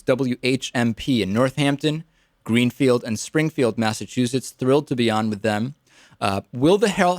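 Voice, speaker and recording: A man's voice: mid-range voice, dry recording, studio quality. A man with an American accent giving political commentary as a radio talk show host.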